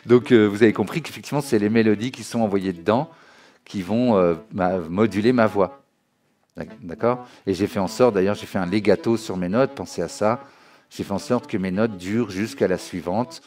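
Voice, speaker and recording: Low-voiced man teaching music software. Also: normal speed. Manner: conversational, checks understanding often with short tag questions. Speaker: music software trainer, tutorial host. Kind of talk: informal spoken tutorial, demonstrating while explaining. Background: music and synth demo sounds.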